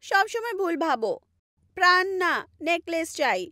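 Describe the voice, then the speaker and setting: A woman's voice: very high voice, big pitch swings, measured pace, no room sound, studio quality, full character voice. A woman voicing a sulky, manipulative young wife with a whiny, wheedling delivery in a cartoon story. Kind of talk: animated story dubbing. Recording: loud music bed under voice.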